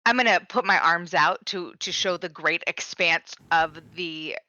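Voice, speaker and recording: A woman playing a tabletop game who talks in an animated, emphatic way, heard through a dull, lo-fi mic. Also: fairly low pitch, a little slow. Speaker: player.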